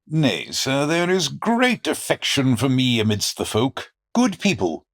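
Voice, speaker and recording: A wicked, boastful sheriff from a medieval radio-style drama. The voice is rough and husky, taking its time over archaic, theatrical lines. The pitch swings widely as pomposity turns to temper. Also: male voice, wildly swooping pitch, unhurried, heavily acted. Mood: pompous. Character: villainous, boastful medieval English sheriff, easily angered. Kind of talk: audio drama acting in archaic English.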